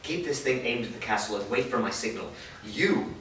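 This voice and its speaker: A man's voice: medium pitch, lively intonation, brisk, a bit bright, slight background noise, natural voice. A man reading the part of a military commander, commanding and urgent, in a staged script reading. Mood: encouraging. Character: gruff military commander rallying soldiers. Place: large studio room. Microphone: far camera mic. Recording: echoey room from far off.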